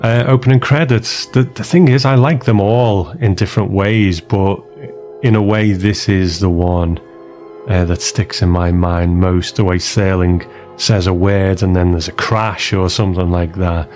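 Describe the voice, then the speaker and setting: A man hosting a podcast, closing the episode in a relaxed way, thinking aloud. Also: low-pitched, unhurried, faint music behind. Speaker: podcast host. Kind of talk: casual chat.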